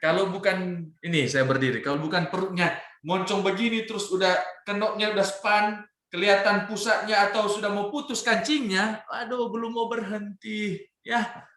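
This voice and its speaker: A man with a Manado Indonesian accent gives a religious health talk as a doctor, emphatic, slowing on key phrases and often adding small check-in words. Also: high voice, fairly even intonation, fairly bright tone. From Manado, North Sulawesi, Indonesia. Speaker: doctor giving a health testimony. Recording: roomy, echoing sound.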